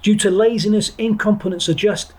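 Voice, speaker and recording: A man's voice: sits high, fairly even intonation, brisk, darkish tone, husky. A middle-aged man from England, a former Marine trainer telling his story as a podcast guest in a blunt, digressive way, often restarting his sentences. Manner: blunt and digressive, with frequent restarts and the odd swear word. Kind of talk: reflective interview talk.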